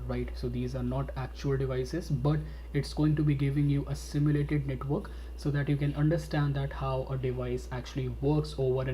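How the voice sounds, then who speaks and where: A man leads a webinar over a computer mic, walking viewers through a screen demo. His delivery stays level and unhurried, with a warm, mellow tone, and he leans on fillers as he explains. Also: mid-range voice, a little hiss or hum. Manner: steady explanatory talk with frequent fillers and "all right". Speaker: instructor.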